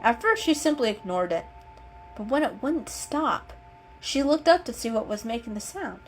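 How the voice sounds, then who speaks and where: A woman storyteller giving an expressive, unhurried dramatic reading of an animal story. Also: fairly high pitch, faint music behind.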